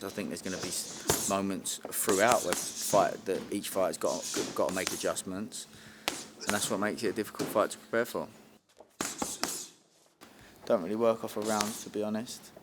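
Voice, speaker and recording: A man with a fairly low voice speaks as a coach in an interview. He breaks down tactics plainly and analytically in short, clipped phrases, over clear background noise. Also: steady tone, moderate pace. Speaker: boxing coach. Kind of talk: interview explanation of training and tactics. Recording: loud background noise, on location.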